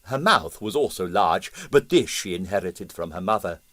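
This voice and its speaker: A man narrating a comic novel as an audiobook, with dry, expressive storytelling. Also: low voice, normal speed.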